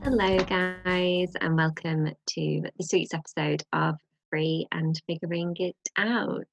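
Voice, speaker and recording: A young British woman chatting as a podcast co-host, her voice low and gravelly with a slight cold croak. She rambles along with plenty of fillers, recorded close and dry with no room sound. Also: steady tone, slow pace, dry recording, faint music behind, poor audio. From Britain. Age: young adult. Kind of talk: casual chat.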